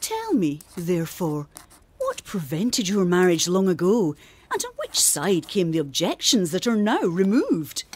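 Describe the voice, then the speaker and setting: An actress playing a refined, earnest older gentlewoman reading her formal, gently preaching letter in a radio drama. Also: lowish voice, wildly swooping pitch, unhurried, slightly rough, heavily acted. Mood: probing, polite.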